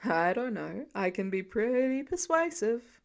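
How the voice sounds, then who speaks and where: A woman voicing a calm, soothing father with gentle dry humour in a storybook reading. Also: a little high, measured pace, vocal fry, exaggerated voice. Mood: calm, reasoning.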